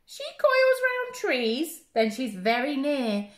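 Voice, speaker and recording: Sweet helpful butterfly a lady does, high sing-songy and slow, echoey room. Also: huge pitch swings, heavily acted. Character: kind helpful little butterfly, eager and gentle. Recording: roomy echo.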